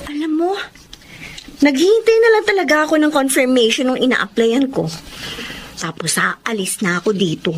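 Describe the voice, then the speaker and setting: Radio drama casting: young Filipino woman employee, high voice, outspoken, emotive, faint noise underneath. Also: expressive, normal speed. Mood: resentful. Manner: emotive, complaining to colleagues. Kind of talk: Tagalog radio drama acting.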